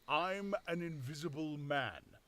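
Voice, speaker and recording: A man voicing an invisible man who speaks slowly and deliberately, pressing each point. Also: fairly high pitch, a bit bright, pitched above the performer's own voice. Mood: insistent. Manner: slow, deliberate, emphatic. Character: calm, insistent invisible man, measured.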